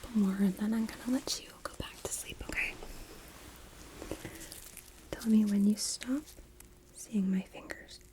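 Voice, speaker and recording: A woman playing a gentle, unhurried hospital nurse in an ASMR roleplay, mostly whispering soft, soothing, reassuring instructions to the listener as patient. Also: medium pitch, steady tone, lightly acted. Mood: soothing, attentive.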